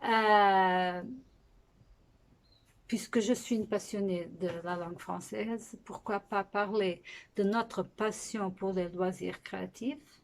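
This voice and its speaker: Portuguese-accented woman hosting a knitting podcast, slow and easygoing, with frequent restarts. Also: medium pitch, steady tone, rough recording. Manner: unhurried, with frequent pauses and restarts. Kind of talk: casual spoken podcast monologue.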